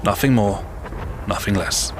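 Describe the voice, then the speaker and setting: Deep-voiced, world-weary London army sergeant. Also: male voice, a little slow, noisy background. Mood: blunt, cynical. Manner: blunt, world-weary, colloquial. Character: gruff, plain-spoken British army sergeant of World War One. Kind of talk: audio drama acting.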